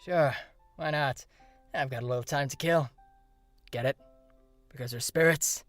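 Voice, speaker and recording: Man acting a sardonic, mid-pitched crow spirit. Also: unhurried, no echo, faint music behind, natural voice. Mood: wry. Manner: dry, flippant. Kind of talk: fan audio drama acting.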